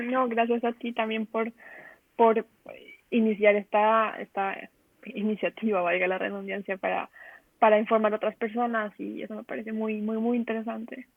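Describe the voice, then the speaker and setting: A woman's voice: a little high, little pitch movement, normal speed, creaky, dry recording. A young woman with a Colombian accent answering interview questions casually and hesitantly, searching for words. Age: young adult. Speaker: interview guest, design trainee. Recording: narrow telephone-like online call.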